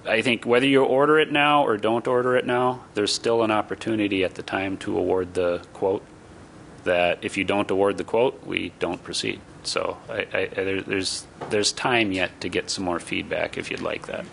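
Man, city staffer, low voice, gravelly, stammering restarts, explanatory. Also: steady tone, normal speed, fairly bright tone, slight background noise. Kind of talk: explaining options to council. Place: city council chamber.

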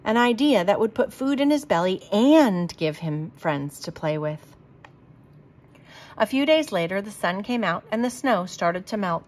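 A woman reading a children's storybook aloud in a deliberate, expressive manner. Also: mid-range voice. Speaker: storybook reader.

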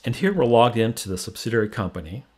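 American male demo presenter, husky mid-range voice, plain step-by-step tutorial read. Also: mid-range voice, sing-song, quick pace, a little mellow. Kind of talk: scripted tutorial narration of a screen demo.

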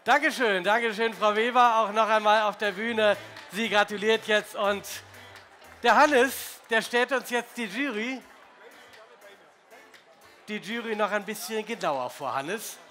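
Heller, hoher Moderator mit Publikum. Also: male voice, high-pitched, a little slow, bright, forceful tone, faint music behind. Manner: upbeat, announcing. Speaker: gala host. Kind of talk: stage moderation. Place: large event hall stage. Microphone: handheld microphone.

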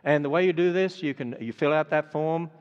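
A man's voice: a little high, brisk, smooth. An older man with an Australian accent, closing a sermon and then making announcements in an earnest, conversational way with many fillers. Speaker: speaker. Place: large auditorium. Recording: dull sound with long hall reverb.

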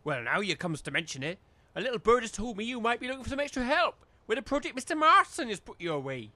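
A man's voice: very high voice, very animated, fast, pushed and bright, no room sound, heavily acted. A man playing a chummy, cajoling village labourer and chancer who speaks in rustic dialect, in a comedy audio drama. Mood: wheedling.

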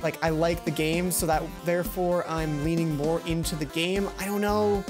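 Excitable young man playing a game. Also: fairly high pitch, moderate pace, over music. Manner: excitable, rambling thinking aloud. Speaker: let's play host. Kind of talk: let's play commentary. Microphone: headset.

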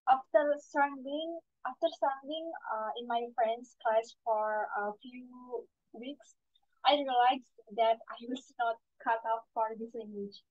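A young woman with an Indonesian accent speaks slowly and haltingly, repeating words as she answers test questions. Her voice sits fairly high. The sound is dry and narrow, like a phone line from a headset on a video call. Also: dry recording. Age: young adult. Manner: hesitant, with fillers and repeated words. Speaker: student taking a speaking test.

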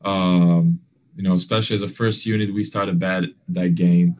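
Halting young man with a low voice. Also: flat delivery, darkish tone. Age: young adult. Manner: halting, leans on fillers and restarts; earnest, non-standard grammar. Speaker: basketball player. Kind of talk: postgame press conference answers. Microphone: video call.

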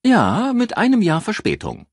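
Guy doing a brisk wry cop for a crime audiobook, slightly husky, lively delivery. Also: high-pitched, no echo, pitched above the performer's own voice, exaggerated voice. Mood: polite, wry. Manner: brisk, dry, polite. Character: businesslike, wry male police chief inspector.

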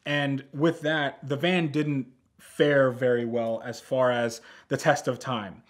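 A man's voice: mid-range voice, flat delivery, takes it slowly. Young man telling car stories, wry. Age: young adult. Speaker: car storyteller. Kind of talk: casual personal storytelling to camera. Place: indoor decorated studio set, seated.

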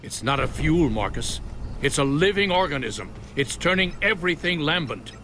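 Urgent, weary elderly scientist. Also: male voice, on the high side, animated, normal speed, pushed and bright, a little hiss or hum. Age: older. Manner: earnest, urgent, explanatory. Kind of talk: video game cutscene acting.

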